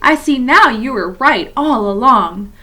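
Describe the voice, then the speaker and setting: A woman voicing a young schoolboy who is sulky and proud, calling out loudly in a storybook reading. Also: on the high side, expressive, a little slow, voice pushed up.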